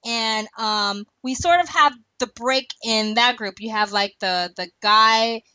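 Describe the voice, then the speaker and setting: A woman's voice: a little high, slow pace, pushed and bright, dry recording. A woman hosting a podcast in a casual, rambling chat, laughing often. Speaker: podcast host. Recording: dull lo-fi dry recording.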